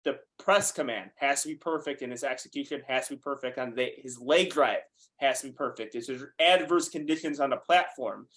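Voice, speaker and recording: A man in his late twenties talking powerlifting on a podcast, quick and animated, cursing casually. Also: medium pitch. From United States. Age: 20s. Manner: fast, animated, swears freely. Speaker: guest powerlifter.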